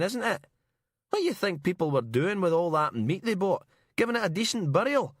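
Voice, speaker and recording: A man's voice: sits high, a bit bright, dry recording, studio quality, pitched above the performer's own voice, heavily acted. A man voicing a brash, combative male reporter with a broad Glaswegian accent in an audiobook, mouthy and indignant. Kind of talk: audiobook character acting.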